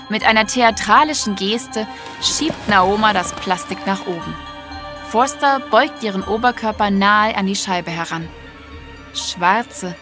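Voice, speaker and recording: A woman narrating a scripted audio story. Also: medium pitch.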